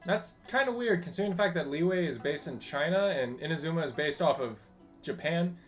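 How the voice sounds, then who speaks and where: A man's voice: a little high, expressive, a little fast. A man giving casual live commentary while streaming a game. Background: game music.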